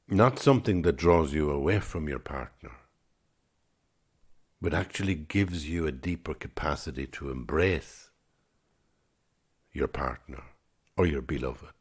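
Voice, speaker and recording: A man in his sixties with an extremely deep, husky voice hosts a solo podcast. He muses thoughtfully, circling back and repeating words as he works out each idea. His tone stays unhurried and reflective. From Ireland. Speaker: podcast host.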